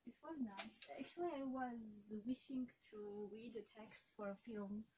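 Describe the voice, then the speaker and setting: A woman's voice: fairly high pitch, measured pace, background noise. A young woman chatting casually as an audition participant in a small studio room. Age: young adult. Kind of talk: casual chat.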